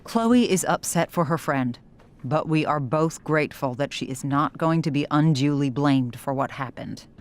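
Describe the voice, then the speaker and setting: Low-voiced, earnest mother played by a woman. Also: normal speed, lightly acted. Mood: conciliatory. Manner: polite, pleading, firm. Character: earnest, worried, conciliatory mother of a teenager. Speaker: voice actor. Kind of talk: dramatic video game cutscene acting.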